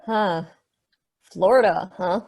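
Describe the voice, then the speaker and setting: A woman's voice: on the low side, slow, vocal fry, a little mellow, full character voice. A woman playing a slangy, irreverent space probe in a stage play table read. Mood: casual. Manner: folksy, sweary slang.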